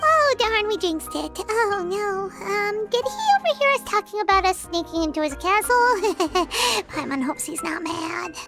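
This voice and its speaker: A woman voicing a tiny, excitable, childlike fairy companion with a squeaky voice in a video game. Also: a little slow, few pauses, heavily acted. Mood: nervous. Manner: speaks of self in third person, expressive. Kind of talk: English video game voice acting. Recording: loud music behind the voice.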